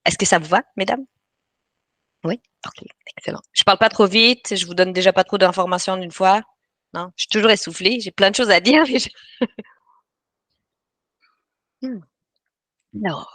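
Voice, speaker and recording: A woman in her thirties with a Belgian French accent, hosting a webinar for aspiring authors. She talks in quick bursts with lots of fillers and restarts, and goes soft and slow when she guides a visualization. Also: medium pitch, no echo. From Belgium (Brussels). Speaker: writing coach and webinar host. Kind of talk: live webinar presentation. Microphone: video call.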